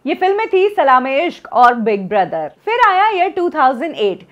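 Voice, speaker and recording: Woman in her 30s, gossip presenter, very animated pitch, slightly high voice, a bit dull lo-fi sound. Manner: lively storytelling delivery, mixing in English phrases. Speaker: entertainment news presenter. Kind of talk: scripted celebrity gossip presentation.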